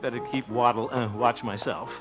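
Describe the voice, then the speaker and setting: An actor playing a blustery, easily flustered American bachelor in a 1950s radio sitcom, with chuckles and muttered asides. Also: male voice, medium pitch, fairly even intonation, moderate pace, over music, close to an everyday voice. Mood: exasperated. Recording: muffled old radio recording.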